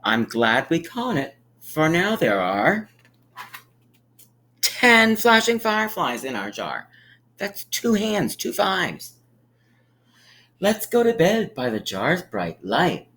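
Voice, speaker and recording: A man reading a picture book aloud to young children like a teacher, with emphatic pauses and encouraging, friendly asides. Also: a little high, huge pitch swings, bright, forceful tone. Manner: encouraging, inviting listeners to count along; drawn-out, emphatic storytelling delivery with dramatic pauses.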